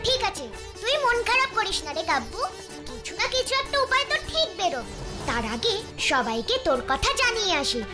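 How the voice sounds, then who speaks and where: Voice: very high-pitched, very animated, fairly quick. A performer voicing a plucky, caring child ghost in a children's cartoon, eager and exclamatory. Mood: determined, reassuring. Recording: children's music behind.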